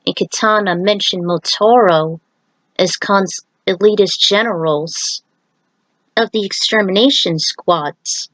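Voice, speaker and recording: A woman's voice: mid-range voice, slow pace, a bit bright, no room sound, poor audio. A woman narrating her story aloud, with casual asides to listeners. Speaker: narrator reading own fan fiction.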